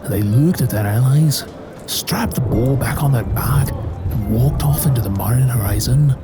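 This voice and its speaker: A man's voice: medium pitch, expressive, close to an everyday voice. Man playing a grandiose fantasy bard over music. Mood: epic, solemn. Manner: dramatic, florid, deliberate storytelling. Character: grandiose male tavern bard telling a heroic legend. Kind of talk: fantasy tale-telling. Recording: music behind the voice.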